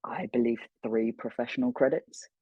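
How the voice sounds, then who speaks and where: Husky-voiced young man chatting casually. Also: mid-range voice, steady tone, normal speed, no echo, highs cut off. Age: young adult. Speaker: voice actor interview guest. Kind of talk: casual interview chat.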